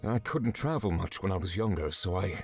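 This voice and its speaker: Grave, low-voiced fantasy game inquisitor. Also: male voice, animated, creaky, darkish tone, soft background music. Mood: wistful. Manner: grave, measured, polite, formal. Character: earnest, reserved former Grand Inquisitor, furry male fantasy character. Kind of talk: visual novel game voice acting.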